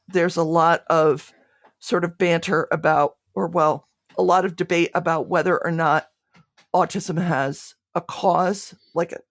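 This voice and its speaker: A woman's voice: fairly low pitch, creaky, no echo, studio quality. A woman being interviewed, speaking reflectively and conversationally, often restarting her phrases mid-thought. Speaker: podcast creator being interviewed.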